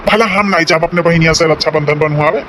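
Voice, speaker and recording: A man's voice: fairly high pitch, quick pace, fairly bright tone, slight background noise, lightly acted. A man voicing a wheedling, placating village husband in a cartoon family comedy, with an Awadhi accent. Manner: rustic, placating, explanatory. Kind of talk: rural cartoon comedy dialogue.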